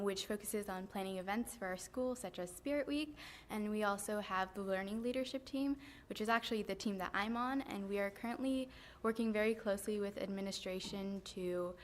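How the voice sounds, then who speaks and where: A teenage high school girl giving earnest prepared remarks at a school board meeting, steady with frequent ums, into a podium mic. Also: normal speed. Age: teens. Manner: earnest, steady, many um fillers. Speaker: high school student speaker. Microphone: podium microphone.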